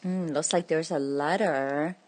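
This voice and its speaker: A woman's voice: low voice, a little slow, a little mellow. A woman narrating a picture book aloud for children as a storyteller.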